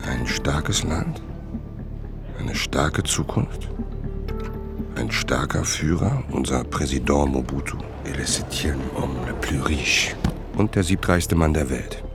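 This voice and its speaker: A man playing a wry, brooding traveller who narrates a radio drama in a measured, terse, gravelly voice. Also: extremely low pitch, lively intonation, exaggerated voice. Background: music.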